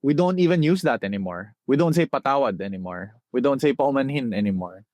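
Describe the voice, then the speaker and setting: A young Filipino man with a Filipino accent, a spoken-word poet reciting his poems from memory with rhythmic phrasing and dramatic pauses. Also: mid-range voice, animated, a little mellow, dry recording. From Philippines.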